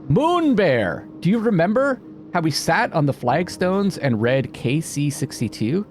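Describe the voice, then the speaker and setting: A man's voice: a little high, very animated, measured pace, a little hiss or hum. A man doing gameplay commentary, thinking aloud and exclaiming as he reads on-screen text. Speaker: let's play host. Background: atmospheric game music.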